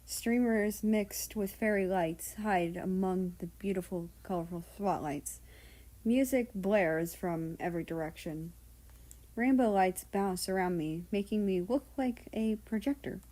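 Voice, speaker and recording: A woman narrating fan fiction aloud in an expressive amateur audiobook style. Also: mid-range voice, a little slow, poor audio.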